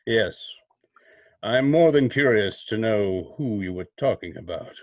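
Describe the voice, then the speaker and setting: An actor playing a sly, menacing invisible crime fighter in a radio drama, speaking slowly and formally with a mocking edge. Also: male voice, on the low side, lively intonation, darkish tone. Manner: slow, deliberate, mocking, formal. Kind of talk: old-time radio drama acting.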